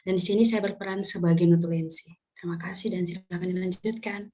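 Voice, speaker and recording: A woman's voice: lowish voice, steady tone, fast, warm tone. A young woman, a university student, introducing herself politely and formally. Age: young adult.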